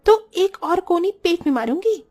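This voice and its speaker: A feisty young daughter-in-law from a cartoon , played by a woman. Her voice is high and pushed loud, with a sharp, quarrelsome, suspicious edge. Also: lively intonation, normal speed. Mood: indignant. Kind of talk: Hindi cartoon story voice acting.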